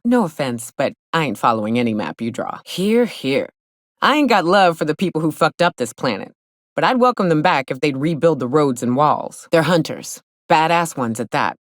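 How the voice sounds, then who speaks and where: An actress playing a gruff, hard-drinking female monster hunter in a video game, sardonic and blunt, with a loose, earthy drawl. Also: low voice, sing-song, moderate pace, no echo, studio quality. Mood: blunt, wary. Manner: sardonic, blunt, swaggering, colloquial swearing. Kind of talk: video game character dialogue. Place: close, dry studio recording.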